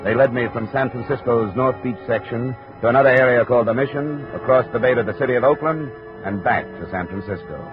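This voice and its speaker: A man's voice: mid-range voice, brisk, close to an everyday voice. An American man playing a dry, businesslike private investigator in a 1950s radio drama, clipped and matter-of-fact. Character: dry, businesslike male private investigator narrating his case. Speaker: lead actor and narrator. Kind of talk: 1950s radio detective acting, scripted narration. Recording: muffled, tinny old radio recording, music behind.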